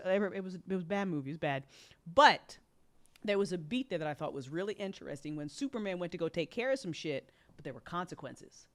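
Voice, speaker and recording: Blunt, emphatic woman in casual chat. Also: mid-range voice. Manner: emphatic, blunt, sweary, punctuated with "like". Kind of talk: casual, opinionated fan discussion.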